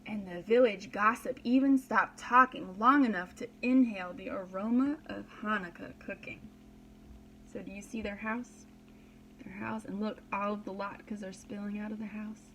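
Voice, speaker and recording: A woman's voice: medium pitch, steady tone, moderate pace, sharp tone, slight background noise, poor audio. A woman reading a children's story aloud as a preschool teacher, dramatically and expressively, with simple explanations for young listeners.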